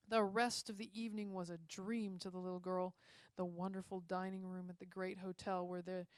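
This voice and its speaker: A woman's voice: fairly low pitch, clean tone, no room sound. Woman reading a story aloud, dry sound. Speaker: story reader. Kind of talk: expressive read-aloud Christmas storytelling.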